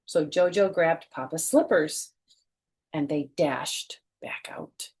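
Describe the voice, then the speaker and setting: Woman, middle-aged, children's storytime reader, expressive narration, chatty asides. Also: deep voice, slow, husky. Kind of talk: expressive picture-book reading with asides. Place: small furnished room at home.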